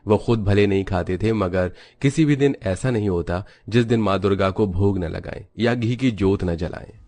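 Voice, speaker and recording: A man's voice: on the low side, moderate pace, darkish tone. A man narrating a scripted moral story as its storyteller.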